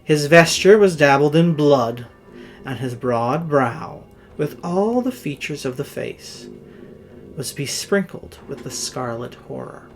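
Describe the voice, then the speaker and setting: A man reads a Gothic horror story as a theatrical narrator over music. His pitch moves freely, and his measured phrases swell loud and then sink low. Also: expressive. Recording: music under the voice.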